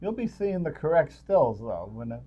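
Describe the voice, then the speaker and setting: A man co-hosting a movie show with casual, somewhat halting talk, feeling for his words. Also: fairly high pitch, sing-song, dark tone. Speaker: co-host of a movie review show. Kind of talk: casual unscripted movie chat. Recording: slightly dull, lo-fi sound.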